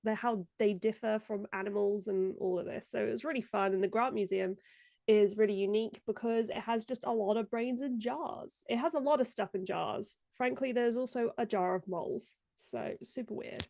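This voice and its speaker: Congested woman, chatty and gravelly. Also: fairly high pitch, normal speed, darkish tone, dry recording, clean studio sound. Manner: chatty, laughs often. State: congested, stuffed up. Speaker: guest video editor. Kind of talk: casual live-stream chat.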